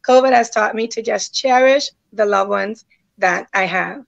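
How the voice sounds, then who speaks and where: A woman's voice: medium pitch, a little slow, vocal fry, slight background noise. A woman speaking earnestly as a guest in a conversational online panel, on dull lo-fi call audio.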